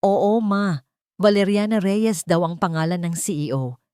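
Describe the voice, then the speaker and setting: A woman's voice: on the low side, unhurried, darkish tone, smooth, no room sound, studio quality, lightly acted. A woman voicing a desperate, anxious adult son in a dramatic story. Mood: hopeful. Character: desperate adult son of a failing rich family.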